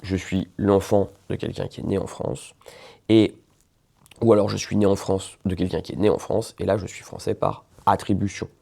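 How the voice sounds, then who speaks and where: A man's voice: sits low, flat delivery, normal speed, gravelly, warm tone, husky. A man, a lawyer, explaining a legal topic in an informal video, talking conversationally with frequent hesitations and check-ins with the listener. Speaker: lawyer explaining nationality law.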